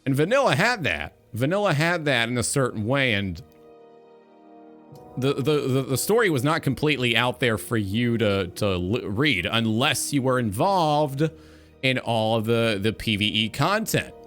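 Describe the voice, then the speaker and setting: Bright-voiced man giving opinionated gaming commentary. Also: medium pitch, animated, moderate pace, soft background music. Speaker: talk show host.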